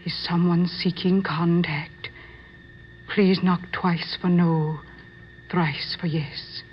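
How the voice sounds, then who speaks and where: A solemn, imperious female séance medium of middle age in a radio drama. She speaks formally and commandingly at a slow, deliberate pace. The recording is dull and lo-fi, with faint noise. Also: fairly low pitch, fairly even intonation. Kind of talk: old-time radio drama acting.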